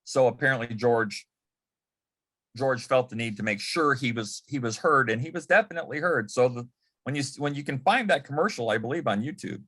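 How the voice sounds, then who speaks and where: A man telling anecdotes as a guest on a panel, in a halting way, often repeating words and restarting his sentences. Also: medium pitch, fairly bright tone. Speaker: panel guest, former personal assistant to an entertainer. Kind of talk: anecdotal storytelling in a panel interview.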